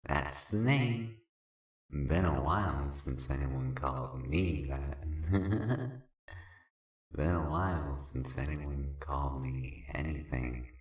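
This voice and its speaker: A man's voice: rumbling low, slow. A man playing a cocky, goofy sprite creature with clawed hands in a fan fiction audio drama, talking in a casual slangy way. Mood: cocky, amused. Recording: roomy echo.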